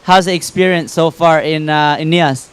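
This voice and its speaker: Man, sports interviewer, high voice, smooth, friendly questions. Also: steady tone, normal speed, a bit bright. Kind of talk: athlete interview.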